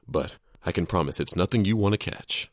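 Deep, husky, callous doctor played by a man. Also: a little fast, no echo, clean studio sound. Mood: cool detachment. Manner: curt, matter-of-fact. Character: callous, businesslike male doctor working with mercenaries. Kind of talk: audio drama acting.

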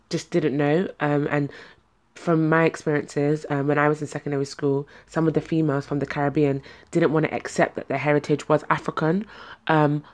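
A woman's voice: deep voice, a little mellow. A young adult woman from London with a London accent, telling personal stories to viewers in a casual, conversational way. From London, England. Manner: conversational, quoting dialogue in anecdotes. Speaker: speaker sharing a personal story.

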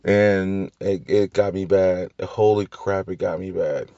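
A man hosts a loose, talky review show and wanders off on tangents, restarting sentences and tossing in the odd swear. His voice sits a little low, and a slight cough hangs on from a recent flu. The recording is dry, with a slightly dull, lo-fi sound. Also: steady tone, slow pace, dark tone, dry recording. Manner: rambling with tangents, frequent restarts and mild swearing. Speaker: podcast host reviewing TV shows. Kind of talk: casual solo review chat.

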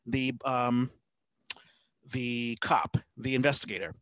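A man hosts a podcast in a casual, conversational style. His voice sits at a middle pitch and he feels his way through sentences haltingly. The sound is dry and close. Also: fairly bright tone, dry recording. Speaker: podcast host.

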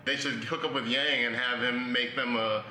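A man's voice: mid-range voice, steady tone, normal speed. A man co-hosting a podcast, chatting casually with jokey asides. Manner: jokey interjections. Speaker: podcast co-host. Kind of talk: casual joking chat. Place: indoor studio under renovation. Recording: echoey room, loud noise and clicks.

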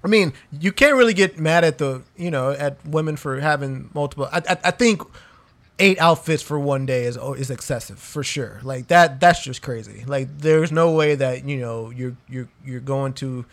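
A man in a casual chat among friends, relaxed and profane, saying "dude" often and stumbling over restarts. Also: medium pitch, moderate pace. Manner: laid-back, swears a lot, says "dude" constantly; stammers and restarts phrases. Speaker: podcast co-host.

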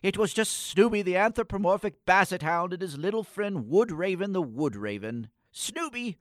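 Someone playing a melodramatic, timid, morose boy, animated delivery. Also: mid-range voice, normal speed, no echo, studio quality, close to an everyday voice. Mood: exasperated, scolding. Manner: theatrical, florid antique wording. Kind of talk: comic radio play acting.

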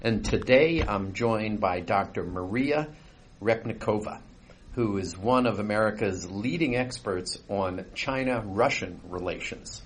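A man's voice: on the low side, measured pace, slight background noise. A man hosting an interview, opening formally and then asking short, direct probing questions. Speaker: interviewer, organization president.

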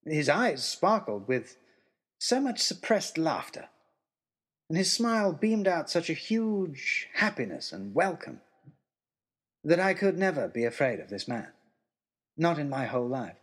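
A man narrating an old-fashioned first-person adventure story in a theatrical way, with deliberate dramatic pauses. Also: a little high, big pitch swings, fairly bright tone. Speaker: narrator of a serial audio story.

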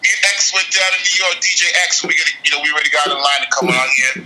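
A man's voice: a little high, rapid-fire, heavy background noise. A man co-hosting a podcast by phone, rambling casually with many fillers. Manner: rambling, repeats "you know". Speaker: podcast co-host. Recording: phone line.

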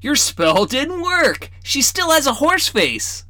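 Cheeky young boy, high excitable voice, bright, dry, as a man puts him on. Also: moderate pace, no room sound, heavily acted. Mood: teasing. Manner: pleading, excitable.

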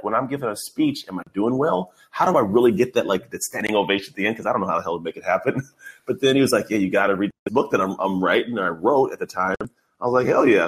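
A young adult man from the Bay Area hosting a podcast, enthusiastic and slangy with lots of fillers. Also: on the low side. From Bay Area, USA. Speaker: podcast host. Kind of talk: casual interview chat.

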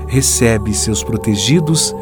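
A man's voice: lowish voice, slow pace. A man with a Brazilian accent, reading solemn, devotional scripted narration as a radio drama narrator. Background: music.

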